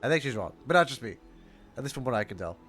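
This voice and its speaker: A man streaming casual game reaction commentary, haltingly repeating his phrases as he thinks aloud. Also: medium pitch, big pitch swings, fairly bright tone. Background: game music.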